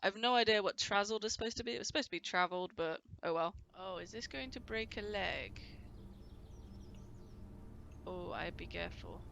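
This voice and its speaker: A woman's voice: medium pitch, expressive, normal speed, creaky, a bit bright, dull audio, slight background noise. Woman with a cold, rambling game commentary. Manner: chatty, rambling, with frequent restarts. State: has a cold, voice a bit off. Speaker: gameplay commentator. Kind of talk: casual let's-play commentary.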